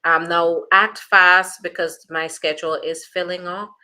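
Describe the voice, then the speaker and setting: A woman's voice: on the low side, steady tone, a little slow, pushed and bright. A woman with a Jamaican accent, an immigration attorney, chatting through viewer questions in a reassuring way with plenty of fillers. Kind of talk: live Q&A reading viewer questions.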